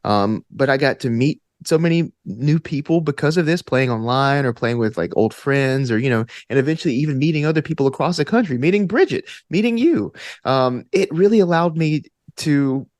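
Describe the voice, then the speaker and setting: Man, mid-pitched voice, earnest, reflective conversational interview style, thinking aloud, very dry recording. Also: expressive, fairly quick, darkish tone, dry recording. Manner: earnest, thinking aloud, frequent "like" and "you know". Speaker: podcast guest, actor and tabletop gamer.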